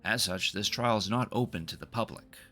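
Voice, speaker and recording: A man's voice: fairly low pitch, fairly quick, sharp tone, faint music behind. A man reading aloud the formal lines of a solemn knight commander from a video game. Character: solemn, formal woman knight commander presiding over trial.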